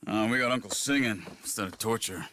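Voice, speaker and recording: An actor playing a calm, watchful and loyal frontier gunman in a video game, measured and reassuring. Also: lowish voice, slight background noise, rough recording. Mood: reflective, reassuring. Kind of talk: cinematic video game acting.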